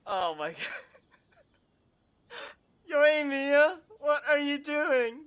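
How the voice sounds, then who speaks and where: A woman's voice: high voice, animated, drawn-out words, a bit bright. A woman giving casual live commentary on a video game as a streamer, with wry, teasing asides.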